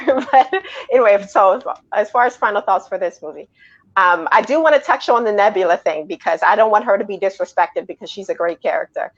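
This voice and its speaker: A woman shares her take as a panelist on a film review show, chatting casually. Her pitch is average for a woman, and the recording is a little dull and lo-fi. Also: a little fast. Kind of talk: casual chat giving opinions.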